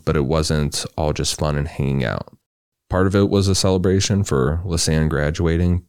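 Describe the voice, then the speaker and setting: A man co-hosting a podcast, reading a true crime story in a relaxed, loose way with casual asides. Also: extremely low pitch, vocal fry, clear, resonant tone, no room sound, studio quality. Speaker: podcast co-host.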